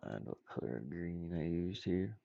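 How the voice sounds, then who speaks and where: Deep, gravelly man muttering casually. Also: expressive, a little slow, warm tone, no echo, dull audio. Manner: muttering, rambling, playful asides. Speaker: indie game designer streaming. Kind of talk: casual chat while drawing game art, thinking aloud.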